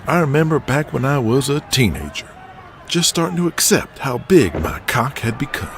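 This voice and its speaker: A man voicing a cocky, smirking male wrestler in an audio drama, laying on grandiose, drawn-out flattery. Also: mid-range voice, slight background noise, voice pushed up, lightly acted. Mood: crude, admiring. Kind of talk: audio drama character acting.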